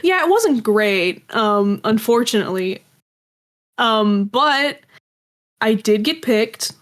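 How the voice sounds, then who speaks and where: A woman's voice: a little high, animated, slow. A young woman chatting casually as an interview guest about her creative work, with wry, self-deprecating asides. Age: young adult. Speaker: guest, indie animation and audio drama creator.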